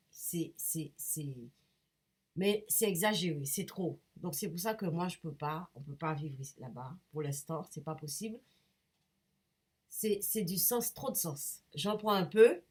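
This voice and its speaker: A woman with a cold talks straight to her viewers in a low, husky voice. She rambles and hesitates, breaking off and restarting as she hunts for the right words. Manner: hesitant, many restarts and fillers, searching for words. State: has a cold. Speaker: painter and vlogger. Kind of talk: rambling casual talk to camera.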